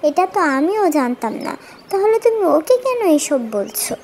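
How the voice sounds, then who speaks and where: Cartoon little girl, female actor: high voice, earnest, outspoken, slight background noise. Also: very animated, moderate pace, dark tone. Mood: indignant.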